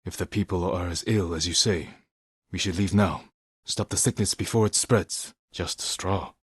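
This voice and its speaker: An actor playing a stoic, honorable samurai warrior in a video game, speaking in a measured, formal and grave way. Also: male voice, low-pitched, slightly rough, dry recording. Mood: measured, resolute. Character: stoic, honorable samurai warrior, adult man, solemn and protective. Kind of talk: dramatic video game acting.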